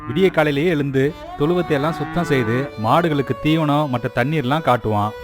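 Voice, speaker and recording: Male story narrator, slightly high voice, scripted delivery, clear music bed. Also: a little fast.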